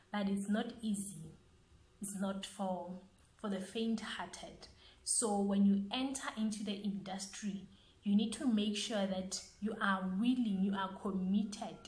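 A young woman with a South African accent speaking earnestly and deliberately, rephrasing as she gives motivational advice in an interview. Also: medium pitch, darkish tone. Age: young adult. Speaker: interviewed young entrepreneur, business owner. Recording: echoey, roomy sound.

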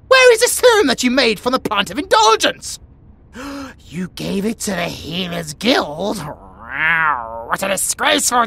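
A man's voice: sits high, creaky, pushed and bright, dry recording, full character voice. A British man voicing a haughty, bratty but secretly affectionate young tiger boy in a comedic audio roleplay, with pompous, theatrical outbursts.

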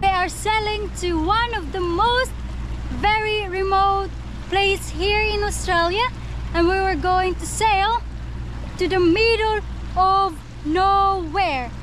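A woman hosting a sailing vlog outdoors on a boat, excitable and given to loud exclamations. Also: very high voice, slow. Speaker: sailing vlogger host. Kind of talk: casual vlog narration on a boat. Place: outdoors on a sailboat at sea. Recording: wind noise on an outdoor mic. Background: wind and boat noise.

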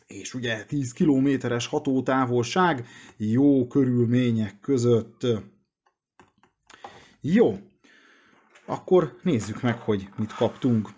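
A man's voice: mid-range voice, a little mellow. Man, casual product walkthrough. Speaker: product presenter.

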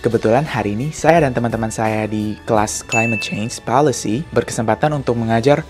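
A young man from Indonesia, a student vlogger, explaining things in a quick, chatty way into a handheld mic. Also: mid-range voice. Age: young adult. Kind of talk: casual vlog narration. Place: indoor office, then outdoors on a street. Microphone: handheld mic with fluffy windscreen. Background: background music.

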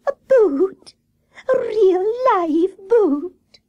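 Woman doing a squeaky, excitable little squirrel. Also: slow pace, muffled recording, full character voice. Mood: thrilled. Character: small, excitable, tender-hearted squirrel. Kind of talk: children's storybook character voice.

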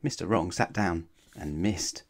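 A man reading a children's storybook aloud expressively, with dramatic, deliberate emphasis. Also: low-pitched, a little hiss or hum. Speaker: storybook narrator.

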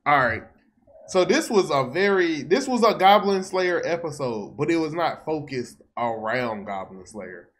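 A man's voice: on the high side, a bit bright. A young American man giving casual, slangy reaction commentary and often repeating his phrases. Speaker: reaction video host. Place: small room at home.

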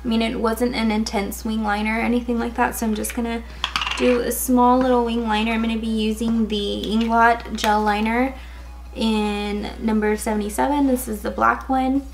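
A young woman in her twenties with a raspy, slightly hoarse voice, chatting casually through a makeup tutorial with lots of "um" and "like". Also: on the high side, flat delivery, normal speed, background noise. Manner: casual, chatty, many fillers like "um" and "like". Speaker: beauty vlogger. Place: indoors, in front of a gold sequin backdrop.

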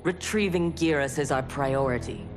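Woman as a deep-voiced, cold armored ninja. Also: normal speed, lightly acted. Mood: cold resolve. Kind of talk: dramatic game cutscene acting. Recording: music behind the voice.

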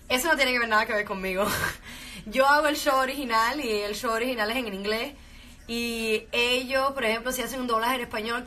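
Young woman, Cuban-accented Spanish, chatty bilingual live-streamer, bright voice, close phone mic. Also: on the high side. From Venezuela. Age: young adult. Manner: chatty, lots of fillers, laughs often. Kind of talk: casual bilingual live chat, switching between Spanish and English. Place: bedroom indoors. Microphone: phone held close.

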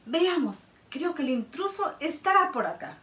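A woman's voice: high voice, very animated, unhurried, fairly bright tone, pitched above the performer's own voice, heavily acted. A woman voicing a suspicious mother bear looking for an intruder. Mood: wary. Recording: roomy sound from a distance.

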